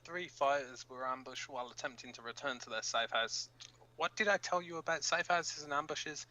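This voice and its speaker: A very bright, forceful male voice of middling pitch played by an actor. He is a brash, hot-headed resistance fighter on underground radio, blunt and impatient, speaking informally in a sci-fi audio drama. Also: moderate pace, natural voice, poor audio. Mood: exasperated, angry.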